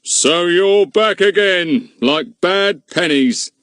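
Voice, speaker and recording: Man doing a huffy, blustering village bobby in a storybook read, pitched up and swinging, dry sound. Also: lively intonation, takes it slowly, a bit bright, dry recording, full character voice. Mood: sneering. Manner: blustering, scolding. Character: pompous, irritable middle-aged village policeman.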